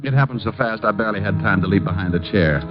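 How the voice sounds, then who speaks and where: A man's voice: lowish voice, quick pace, loud music behind the voice, natural voice. An actor playing a wry, self-mocking male private detective who narrates his case in 1940s radio style. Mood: grim, angry. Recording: muffled old radio broadcast, musical backing.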